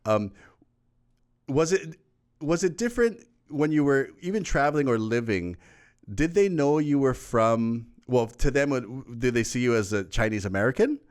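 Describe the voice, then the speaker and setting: A man's voice: medium pitch, animated, smooth. A man hosting an interview show, chatting casually and reacting eagerly to his guest. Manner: eager, reacting with quick "wow" and "really". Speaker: show host.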